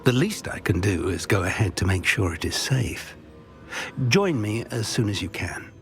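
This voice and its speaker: An actor playing an older wizard mentor in a fantasy game, cautious and caring, with a husky voice and a deliberate, well-spoken manner. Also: male voice, on the low side, sing-song, faint music behind. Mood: protective. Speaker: mentor professor. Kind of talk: scripted fantasy game acting.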